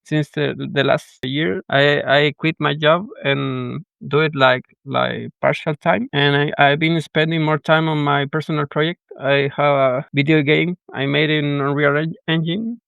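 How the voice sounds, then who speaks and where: Chilean-accented man, gravelly and halting. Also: mid-range voice, fairly bright tone, no echo. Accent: Chilean Spanish. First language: Spanish. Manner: halting, repeats words while searching for English. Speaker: guest, architect and indie game developer. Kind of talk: casual podcast chat.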